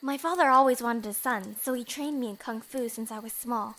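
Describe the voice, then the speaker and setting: A woman's voice: fairly high pitch, a little fast, a bit bright. A young woman acting in an audio drama as an earnest, pleading bride-to-be who is secretly a bandit. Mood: confessing.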